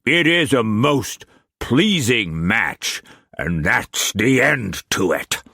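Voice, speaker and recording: A man voicing a sick, domineering older uncle who speaks gruffly and commandingly. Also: mid-range voice, expressive, slow, bright, forceful tone, no echo, clean studio sound, pitched above the performer's own voice, heavily acted. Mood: stern, harsh.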